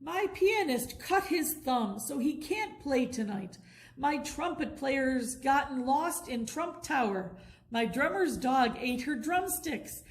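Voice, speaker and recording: Lady doing a fed up angry club manager guy, storybook, high voice, slow exasperated griping. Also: animated. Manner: exasperated complaining. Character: furious, exasperated male jazz club manager.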